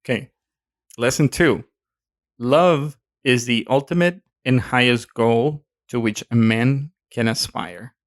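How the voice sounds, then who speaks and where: A man's voice: medium pitch, slow, dry recording, clean studio sound. A man with a Mexican accent co-hosting a podcast, talking casually with many fillers and hesitations. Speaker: podcast co-host. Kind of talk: casual chat.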